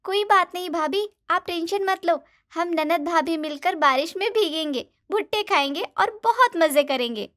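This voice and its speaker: A woman acts out a young sister-in-law in a cartoon tale. The voice is extremely high and girlish, with a frank manner. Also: normal speed, fairly bright tone. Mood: cheerful. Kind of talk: animated moral story dialogue.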